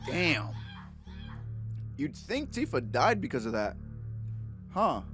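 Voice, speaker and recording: A man's voice: on the high side, very animated, slow, shouting. A man giving casual, slangy, joking gameplay commentary as a let's play host. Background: game music.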